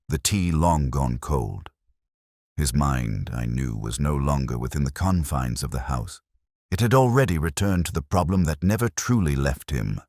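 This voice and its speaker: A man narrates detective fiction in an extremely deep voice. His delivery is measured and solemn, with plenty of pitch movement. The recording is dry and close. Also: no room sound. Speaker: audiobook narrator. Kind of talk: scripted first-person detective story narration.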